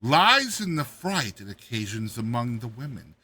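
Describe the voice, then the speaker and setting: A man voicing a crippled dwarf court jester, a cunning schemer who speaks in a measured, coaxing way in a dramatized story reading. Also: mid-range voice, very animated, pushed and bright, breathy edge, exaggerated voice. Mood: sly, coaxing.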